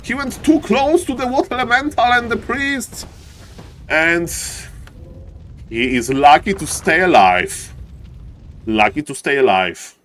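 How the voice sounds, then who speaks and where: A man with a Polish accent doing live strategy game commentary and chatting to viewers, excitable and repeating phrases for emphasis. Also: high-pitched, measured pace, long pauses. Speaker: esports caster and live streamer.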